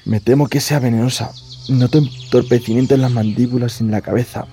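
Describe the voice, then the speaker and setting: An actor playing a dying, snakebitten explorer in an audio drama, grave, weakened and despairing. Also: male voice, medium pitch, steady tone, a little fast, dark tone, natural voice. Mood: fearful, weakening. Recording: music bed behind the voice.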